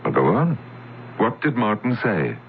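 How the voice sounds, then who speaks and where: An actor playing a troubled male scientist who narrates in a halting, confessional way in an old radio drama. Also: on the low side, sing-song, a little hiss or hum. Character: troubled male scientist haunted by his past. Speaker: narrator and lead character. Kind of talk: 1970s radio drama acting. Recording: tinny old radio broadcast with music.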